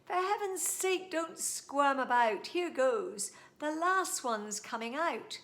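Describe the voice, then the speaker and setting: A woman's voice: high voice, lively intonation, slow, a bit bright, pitched above the performer's own voice, exaggerated voice. Woman playing a gleeful pompous old-style dentist.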